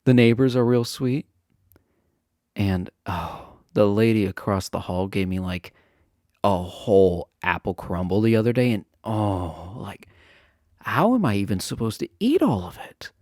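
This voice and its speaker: Casting: male actor as popular college guy, chatty and teasing, easygoing flirt, unhurried delivery with lively pitch swings, romantic audio roleplay. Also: no room sound, studio quality. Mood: friendly, open. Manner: chatty, teasing, hesitant filler-laden casual phrasing. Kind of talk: romantic roleplay audio acting, casual intimate chat.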